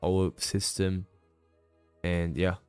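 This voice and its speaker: A man's voice: sits low, little pitch movement, drawn-out words, a little mellow, smooth, soft background music. A man in his late twenties casually talking through his trades on a live stream and answering chat, with many fillers, tired after a long session. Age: 20s. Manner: casual, many fillers, calls viewers bro. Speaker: day trading streamer.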